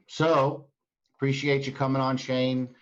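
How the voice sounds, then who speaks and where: A man hosting a video call, briskly introducing the next guest. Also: mid-range voice, steady tone, dull audio. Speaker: host.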